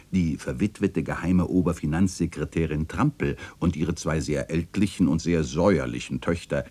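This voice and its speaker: An actor playing a melancholy old chronicler in a literary radio play, narrating in a wistful, theatrical and solemn way. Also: male voice, low-pitched, warm tone, full character voice. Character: melancholy old male chronicler remembering his neighbourhood and its dead.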